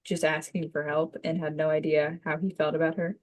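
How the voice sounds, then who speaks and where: A woman's voice: low voice, flat delivery, brisk, darkish tone, rough recording. A woman student giving thoughtful answers in casual chat, tentatively searching for her words. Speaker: voice acting student.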